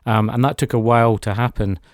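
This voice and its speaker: A man's voice: medium pitch, steady tone, fairly quick, dry recording. Man in his forties, dry close sound. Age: 40s. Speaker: interview guest giving a testimony. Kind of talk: personal storytelling in a radio interview. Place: radio studio.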